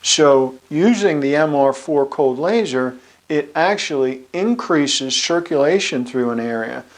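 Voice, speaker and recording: A man, a chiropractor, giving an explanatory talk with slides, measured and slowing on key terms. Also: fairly high pitch, lively intonation.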